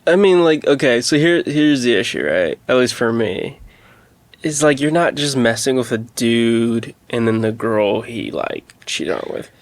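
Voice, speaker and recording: A man co-hosting a podcast in casual discussion, reasoning out loud tentatively and checking for agreement as he goes. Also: mid-range voice, lively intonation, unhurried, no room sound. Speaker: podcast co-host.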